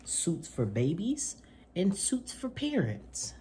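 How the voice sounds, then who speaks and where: A man, hosting a children's storytime and reading aloud in an expressive, deliberate way. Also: high voice, noisy background. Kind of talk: read-aloud children's picture book. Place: small indoor room at home.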